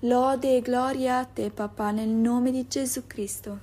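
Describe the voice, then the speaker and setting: A woman leading a fervent spontaneous prayer, pouring out rapid repeated invocations. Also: on the high side, fairly even intonation, warm tone. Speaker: prayer leader.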